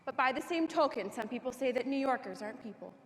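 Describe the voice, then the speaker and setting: A woman's voice: high voice, lively intonation, quick pace. A young woman playing a cheerful, prim middle-aged crafter in a dramatic monologue, in an echoey hall. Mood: chirpy. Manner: bright, folksy, cheerfully prim. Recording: echoey hall, faint noise.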